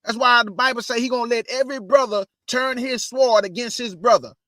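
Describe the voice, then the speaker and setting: High-voiced preachy man, bone-dry sound. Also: a little fast, bright, forceful tone, no room sound. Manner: preachy, addresses audience as family. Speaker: host. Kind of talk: casual religious commentary.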